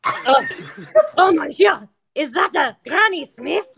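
A woman voicing a greedy, menacing apple scrounger in a theatrical, drawn-out, pleading manner for improv comedy. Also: high voice, lively intonation, creaky, a bit bright, full character voice.

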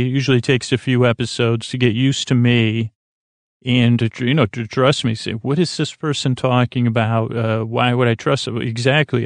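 A man hosting a sleep podcast in a lulling, soothing way, rambling unscripted, stumbling and trailing off mid-thought with gentle self-deprecation. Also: mid-range voice, no room sound. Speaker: podcast host.

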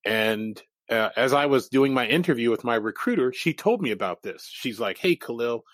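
A man's voice: medium pitch, lively intonation, moderate pace, dry recording. A man in his forties, a native Japanese speaker, a guest in an interview who tells his life story candidly and laughs easily.

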